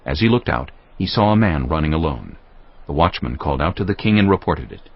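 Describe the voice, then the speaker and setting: A man's voice: extremely low pitch, lively intonation, brisk. A man narrating a dramatized audio Bible as a scripted story. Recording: dull lo-fi audio.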